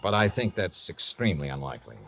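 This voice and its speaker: A man's voice: sits low, very animated, fast, gravelly, fairly bright tone, a little hiss or hum, full character voice. A man playing a laconic, dry-witted insurance investigator who also narrates, in a 1950s radio drama. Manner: laconic, dryly wry. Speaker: narrator and lead detective. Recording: vintage radio recording with music.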